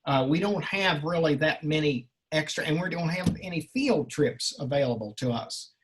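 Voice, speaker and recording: A male college instructor talking informally with a class in a halting, rambling, encouraging way. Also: a little high, slightly rough. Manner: encouraging, reassuring. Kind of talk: informal class question-and-answer.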